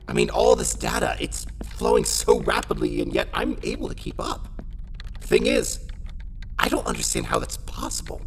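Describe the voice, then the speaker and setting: A man's voice: extremely high pitch, expressive, moderate pace. An actor playing a brainy, bewildered male mind inside a machine, marveling analytically in a comic-book audio drama with noisy ambience. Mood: awed, puzzled.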